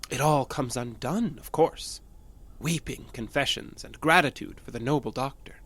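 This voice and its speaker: An actor in an audio drama playing an obsessive, unstable male patient with a halting, nervous, insistent manner. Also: mid-range voice, fairly bright tone. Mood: sly, menacing. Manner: halting, nervous, insistent, stammering under pressure.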